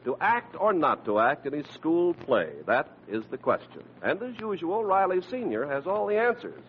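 A man's voice: fairly high pitch, sing-song, normal speed, a little hiss or hum. A male radio announcer in 1940s style, delivering copy in an emphatic, theatrical way. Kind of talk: 1940s radio ad and show introduction. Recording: muffled vintage radio broadcast with music.

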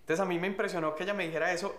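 Young man, fairly high voice, echoey room, relaxed storytelling. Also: very fast. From Colombia. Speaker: guest telling their love story. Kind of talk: casual storytelling.

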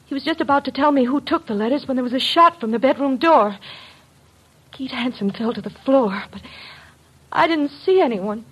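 A woman's voice: high voice, normal speed, husky. An actress playing a frightened young woman under suspicion, pleading in melodramatic old radio mystery style. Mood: shaken, horrified. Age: young adult. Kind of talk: 1940s-50s radio mystery acting. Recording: dull old radio recording.

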